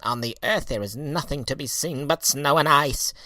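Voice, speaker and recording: A man's voice: medium pitch, normal speed, sharp tone, no echo, pitched above the performer's own voice, lightly acted. A man voicing a small, kindly storybook earthworm who speaks earnestly and emphatically. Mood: shivering, cautioning.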